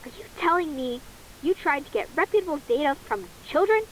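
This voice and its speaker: A woman voicing a stern, demanding official who speaks in a curt, brisk way over a radio. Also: sits high, animated, a little hiss or hum, poor audio.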